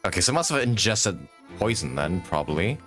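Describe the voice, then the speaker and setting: A man's voice: low voice, very animated, brisk, a bit bright. A man streaming a game, thinking aloud in casual commentary and reading on-screen text. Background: game music.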